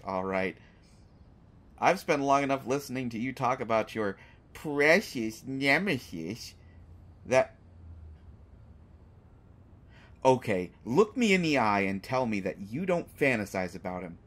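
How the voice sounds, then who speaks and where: A man's voice: medium pitch, fairly bright tone. A man playing a sarcastic, unafraid hostage who teases a villain in an audio drama, with exaggerated mock reactions. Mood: teasing, incredulous. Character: sarcastic, unafraid male hostage taunting a lovesick supervillain. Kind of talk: scripted roleplay audio drama monologue.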